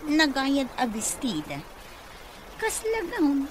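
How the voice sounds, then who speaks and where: A woman's voice: high-pitched, very animated, slow pace, exaggerated voice, rough recording. A woman acting a vain, dreamy, easily offended young office worker in a radio comedy drama, with an Ilocano accent. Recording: clear background noise.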